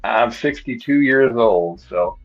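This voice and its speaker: A man's voice: mid-range voice, lively intonation, slow, highs cut off, faint music behind. A man in his sixties, a guest breeder, rambling and thinking aloud in casual conversation. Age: 60s.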